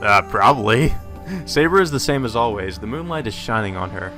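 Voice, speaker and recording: A man with a fairly low but bright, forward voice reads a visual novel aloud as a let's player. He slips between plain narration and irreverent, sweary asides, reacting in disbelief at the script. Music plays behind him. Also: lively intonation, brisk. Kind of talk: casual commentary mixed with reading aloud.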